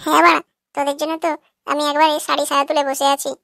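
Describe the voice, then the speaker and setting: A performer voicing a foul-mouthed old village grandmother in a cartoon, sharp and nagging. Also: high voice, little pitch movement, racing, gravelly, dry recording, clean studio sound, full character voice. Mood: angry.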